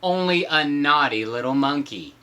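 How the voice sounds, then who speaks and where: A man with a husky voice reads a picture book aloud in an animated, playful way, with teasing asides as a father would to his child. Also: on the high side, moderate pace. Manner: acts out shouts and sound effects, teasing.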